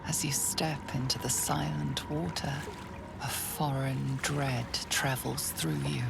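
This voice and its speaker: An actress playing a hushed, ominous narrator of a fantasy video game, reading scripted narration. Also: female voice, rumbling low, level tone, slow pace, vocal fry, noisy background, exaggerated voice. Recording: loud music behind.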